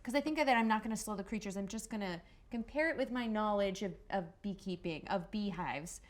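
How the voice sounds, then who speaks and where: A woman's voice: mid-range voice, brisk. A woman playing a tabletop roleplaying game, chatting casually as she works out her moves.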